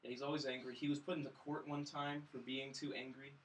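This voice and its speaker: A man with a medium-pitched voice chats casually on a film review panel. He is wry and dryly joking, and the recording is slightly distant with faint noise around him. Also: little pitch movement, fast talker. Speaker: podcast panelist reviewing films. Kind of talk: casual chat.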